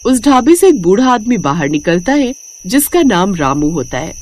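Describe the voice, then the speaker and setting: A woman's voice: mid-range voice, big pitch swings, moderate pace, a little mellow, slight background noise. A woman narrating a scripted cartoon story as its storyteller.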